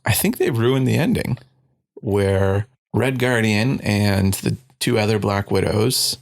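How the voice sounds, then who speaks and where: A man's voice: fairly low pitch, slow, gravelly, no room sound, studio quality. A man co-hosting a casual movie podcast, joking and slipping into goofy voices. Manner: jokey, puts on goofy voices for characters. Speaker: podcast co-host.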